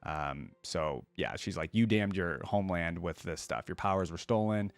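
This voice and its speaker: Dude chatting through a reaction vid, informal and musing, clean voice, no room sound. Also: male voice, low voice, moderate pace, no echo. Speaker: reaction video host. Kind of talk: casual reaction commentary.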